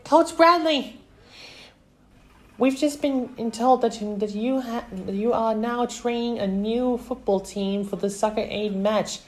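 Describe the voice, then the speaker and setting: A man plays a storybook news reporter, eager and pressing. The voice is thrown very high and carries loudly, halting as it questions. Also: poor audio. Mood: eager, probing.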